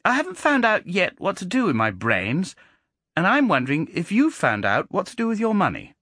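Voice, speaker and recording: A man's voice: high voice, huge pitch swings, moderate pace, sharp tone, no room sound, studio quality, pitched above the performer's own voice, full character voice. A man voicing a precocious boy with a curiously precise treble, innocent and probing in manner. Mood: innocently needling.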